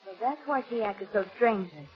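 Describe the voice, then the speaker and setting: A woman's voice: mid-range voice, expressive, brisk, soft background music, close to an everyday voice. A woman playing a caring, headstrong lady companion to a detective in a 1940s radio mystery. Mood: watchful. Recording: dull lo-fi 1940s radio recording.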